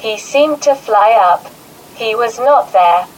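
A woman voicing a shaken teenage girl in an audiobook, speaking softly. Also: fairly high pitch, expressive, takes it slowly, slight background noise, low-quality recording. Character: shaken teenage girl patient, eager to explain.

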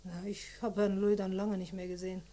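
A friendly woman played by an actress giving a witness account in an old crime radio play. She talks in a plain, chatty way, forthcoming and polite, at an average female pitch. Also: level tone, fairly quick, dark tone, close to an everyday voice. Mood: wistful. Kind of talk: 1950s-80s German crime radio play acting.

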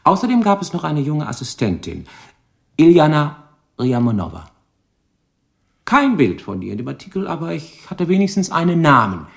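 An actor playing a shrewd, dogged police lieutenant in a radio crime drama, probing deliberately with dry irony. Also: male voice, on the high side, very animated, gravelly. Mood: dryly pressing.

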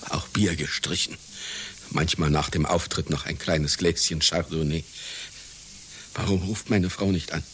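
A man's voice: rumbling low, fairly even intonation, measured pace, long pauses, fairly bright tone, slightly rough, full character voice. An actor in a radio satire playing a vain, melancholy, ailing clown in his seventies, speaking in pompous, plaintive pronouncements. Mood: plaintive, weary. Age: 70s. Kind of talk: satirical radio play acting.

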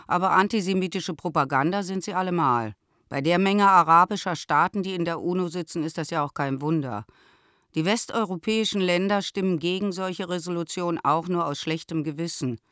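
A woman's voice: on the low side, no echo, studio quality, close to an everyday voice. An actress playing a worldly, opinionated and affectionate middle-aged mother, speaking a letter in a radio drama. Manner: emphatic, opinionated.

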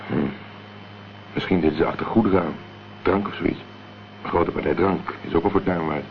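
A man's voice: deep voice, steady tone, a little fast. A man acting a cool, determined amateur investigator in a Dutch radio crime drama, speaking tersely and matter-of-factly. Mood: analytical. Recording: dull, noisy old radio play recording.

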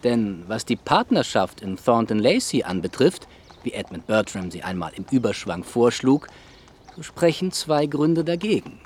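An actor playing a courteous, self-assured Regency gentleman suitor in a radio play, with a polished, persuasive, formal manner. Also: medium pitch, sing-song, normal speed, slight background noise, close to an everyday voice. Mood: wooing. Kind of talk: period radio drama acting.

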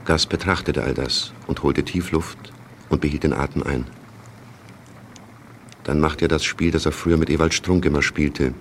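A man narrating a radio play as a sober chronicler of wartime events, measured and factual. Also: extremely low pitch, steady tone. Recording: clear background noise.

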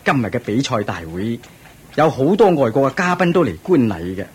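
Casting: male actor as proud middle-aged king, very raspy, swooping delivery, Hong Kong Cantonese, theatrical radio drama. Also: mid-range voice, brisk. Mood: concerned, ambitious. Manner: theatrical, regal, expressive. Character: proud, doting ancient Indian king, anxious about his heir.

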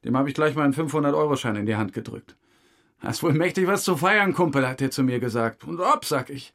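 A man's voice: medium pitch, animated, brisk, fairly bright tone. An actor playing a boastful, weary male suspect who rambles through a confession in a naturalistic radio drama. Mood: boastful, agitated. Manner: rambling confessional monologue, acting out remembered exchanges.

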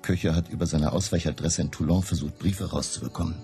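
An actor playing a weary, fearful political refugee in a German radio drama, telling his past in a halting, subdued, gravelly way. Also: extremely low pitch, steady tone, dark tone. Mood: anguished, resigned. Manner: halting, subdued confessional storytelling. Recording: music behind the voice.

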